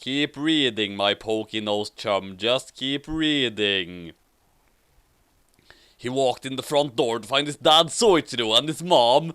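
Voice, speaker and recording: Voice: mid-range voice, takes it slowly, fairly bright tone, clean tone, no room sound. A host doing a comic dramatic reading of fan fiction with casual banter, theatrical and prone to stumbling and repeating phrases.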